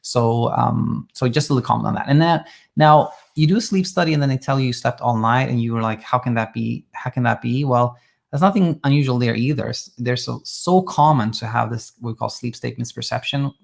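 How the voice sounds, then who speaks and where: A man from Sweden who coaches people on sleep, calmly reassuring as he answers listener questions live and thinks aloud with small restarts. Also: medium pitch, a little fast, creaky. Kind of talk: live Q&A advice talk.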